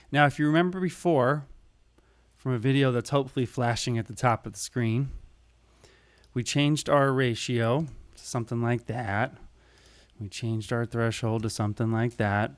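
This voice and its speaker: Casual man presenting a tutorial, mid-pitched. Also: smooth. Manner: informal, step-by-step explaining. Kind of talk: casual screen tutorial narration.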